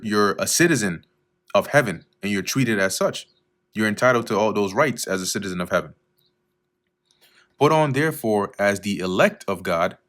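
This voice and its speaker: A man teaching verse by verse through scripture, reading each verse slowly and then explaining it in a casual way with fillers, often clearing his throat. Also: on the low side, fairly bright tone. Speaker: Bible teacher.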